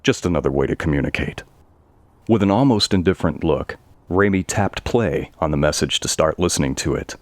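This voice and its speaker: A man's voice: extremely low pitch, expressive, brisk, dry recording. A man narrating a scripted audio story.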